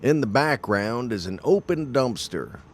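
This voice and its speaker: A man's voice: mid-range voice, animated, a little slow. A man reading scripted scene narration as the narrator of an audio drama.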